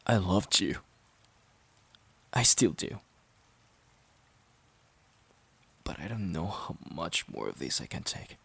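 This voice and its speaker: A man playing a worn-out, resentful boyfriend in an audio drama, pleading in a halting, strained way. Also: sits low, very animated, vocal fry, fairly bright tone, breathy edge, heavily acted. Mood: scared, weary. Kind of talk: dramatic audio roleplay monologue.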